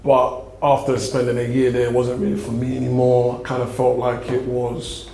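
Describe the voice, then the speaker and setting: Casting note: young South London man, gravelly and monotone, loose rambling talk, roomy echo. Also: medium pitch, moderate pace. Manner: laid-back, rambling answers. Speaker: guest. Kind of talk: casual interview. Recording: echoey room with faint noise.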